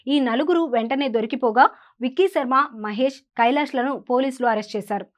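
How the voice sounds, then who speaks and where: A woman's voice: high voice, quick pace. A woman, reading scripted news as an anchor in a formal, projected delivery.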